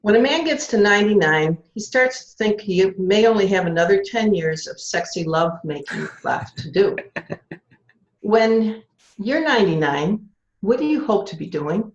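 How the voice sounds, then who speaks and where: A woman's voice: medium pitch, expressive, measured pace. A woman leading a club segment on an online call, cheerful, reading out quotes and laughing easily. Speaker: table topics master. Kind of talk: hosting a club meeting segment. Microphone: online video call. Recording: echoey, dull call audio.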